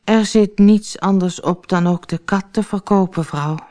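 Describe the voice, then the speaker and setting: Lady doing a gloomy old fairy tale husband, slow, resigned, kinda anxious. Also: medium pitch, warm tone, close to an everyday voice. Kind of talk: storybook character voice.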